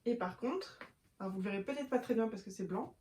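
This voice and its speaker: A woman's voice: fairly high pitch, fast talker, dark tone. Chatty young woman in an echoey room. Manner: chatty, with frequent fillers and self-corrections; shows books to camera while talking. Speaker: book vlogger. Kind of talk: casual chat to camera.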